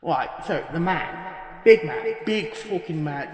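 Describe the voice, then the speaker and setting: Man acting a swaggering thug, echoing and slow. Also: fairly high pitch, animated. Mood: awed, rambling. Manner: rambling, swaggering, sweary, self-interrupting. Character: boastful foul-mouthed British thug giving a violent statement. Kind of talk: parody horror fiction podcast monologue. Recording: roomy echo with long reverb.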